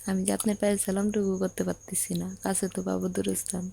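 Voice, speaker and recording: A woman's voice: medium pitch, level tone, quick pace, gravelly, dark tone, slight background noise. A woman acting a lovesick young bride in an audio drama phone call, coaxing and sulky, with a Bangladeshi accent. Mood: pleading, sulky. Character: lovesick young bride, yearning, insistent, devoted.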